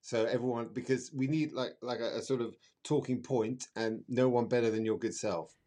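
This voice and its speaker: A man's voice: mid-range voice, normal speed, dark tone. A middle-aged man, an astrologer guest chatting and telling stories in an exuberant, flowery, emphatic way. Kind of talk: chatty interview storytelling.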